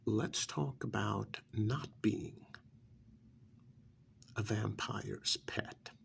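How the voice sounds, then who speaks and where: A man's voice: mid-range voice, creaky, a little hiss or hum, heavily acted. A man doing an ASMR role-play as a cynical, persuasive recruiter for vampires, sardonic and full of prodding rhetorical questions.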